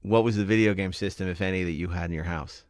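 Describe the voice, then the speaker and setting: Low-pitched middle-aged man hosting casual chat. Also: steady tone, quick pace, darkish tone, no room sound, studio quality. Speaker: host of a movie discussion show.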